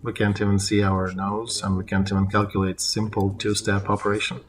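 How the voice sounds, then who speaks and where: A man interpreting a political discussion live, in halting phrases as he translates on the fly. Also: on the low side, level tone.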